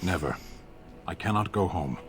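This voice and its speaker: An actor voicing a blunt, stoic warrior of a giant fantasy race in a video game, speaking in a terse, literal, solemn way. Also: male voice, sits low, animated, moderate pace. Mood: flat, guarded. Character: blunt, stoic Qunari warrior, large humanoid soldier. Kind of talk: video game character acting. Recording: game music behind.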